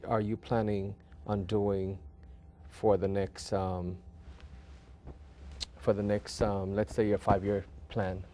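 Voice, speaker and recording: A man hosting an interview show in a conversational way, phrasing his questions haltingly with many small acknowledgements. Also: on the low side, level tone, mellow, a little hiss or hum. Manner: hesitant phrasing, frequent backchannels. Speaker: talk show host.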